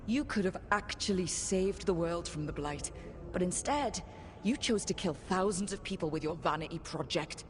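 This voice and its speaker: A woman's voice: lowish voice, lively intonation, normal speed, slight background noise, lightly acted. An actress playing a resolute, wry and plain-spoken female adventuring leader in a video game. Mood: accusing. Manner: direct, wry, down-to-earth. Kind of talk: video game cinematic acting.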